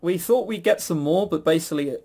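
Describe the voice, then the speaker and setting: A British man with a slightly high voice chats casually as a podcast host. He rambles and stammers through false starts, giving blunt opinions with some swearing. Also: fairly quick, vocal fry, a little mellow.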